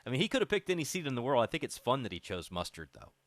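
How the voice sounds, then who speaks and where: A man's voice: medium pitch, big pitch swings, racing, a bit bright, dry recording. A man hosting a conversational radio interview, explaining things in an informal, reflective way. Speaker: radio show host.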